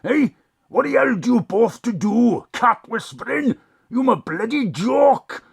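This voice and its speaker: Gravelly, menacing hitman. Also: very high voice, expressive, a little slow, full character voice. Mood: mocking, threatening. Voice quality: gravelly, rough. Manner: colloquial, mocking, threatening. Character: menacing, scornful male hitman, rough-spoken thug. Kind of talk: audio drama acting.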